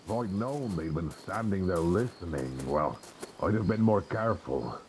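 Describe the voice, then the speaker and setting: A man playing a weary, wry old nineteenth-century lighthouse keeper with halting, folksy speech in a suspense audio roleplay, close on a binaural mic. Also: sits low, animated, noisy background. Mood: sheepish, grumbling. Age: older. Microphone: close binaural microphone.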